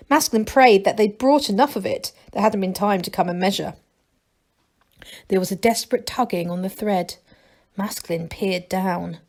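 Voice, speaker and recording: A woman reading a children's story aloud as a teacher would, in expressive narration. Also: medium pitch.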